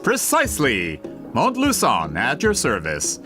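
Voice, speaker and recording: An actor playing a polite, eager gentleman book merchant in a fantasy video game, speaking in a flowery, theatrical, salesmanlike way. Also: mid-range voice, huge pitch swings, a little slow, music in the background. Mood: delighted, flattering. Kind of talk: animated video game voice acting.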